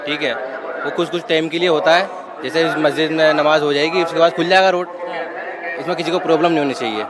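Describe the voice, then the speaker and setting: A man's voice: fairly high pitch, fairly even intonation, fast. A local man explaining his view in a street interview. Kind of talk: street vox pop. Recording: clear crowd noise outdoors.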